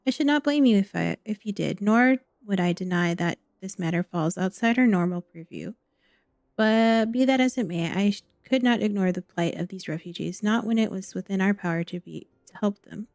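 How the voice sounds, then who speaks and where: A woman voicing a gracious, dutiful noblewoman in a fantasy game, reading formal archaic dialogue in a gentle, measured manner. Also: mid-range voice, dry recording, studio quality, lightly acted. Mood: solemn, gracious.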